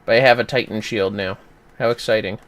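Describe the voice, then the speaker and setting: A man chatting casually while gaming on a livestream, playful, exclamatory and quick to swear. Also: on the low side, fairly even intonation, a bit bright. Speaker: gamer on a livestream. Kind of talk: casual gameplay chat.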